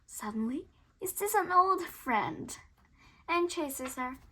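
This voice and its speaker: A woman reading a children's picture book aloud in a theatrical way, moving between hushed whispers and emphatic bursts. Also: very high-pitched, expressive, slow pace.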